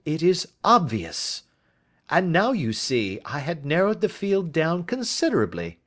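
A man's voice: a little high, wildly swooping pitch, measured pace, fairly bright tone, voice pushed up, full character voice. A man reading the part of a brilliant Victorian detective, who lectures in a precise, emphatic way. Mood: lecturing.

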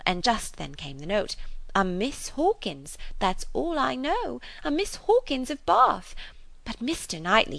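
A woman's voice: medium pitch, a bit bright, dry recording, pitched above the performer's own voice. A woman voicing a garrulous, good-hearted older spinster in an audiobook, gushing and rambling in a flustered, breathless way. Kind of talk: audiobook character voice.

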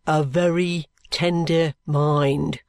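A reader voicing a sly, genial middle-aged male detective with a cheerful, coaxing, unhurried manner. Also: medium pitch, dry recording, studio quality, natural voice. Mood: wheedling. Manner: cheerful, coaxing, leisurely drawl. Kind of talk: audiobook character reading.

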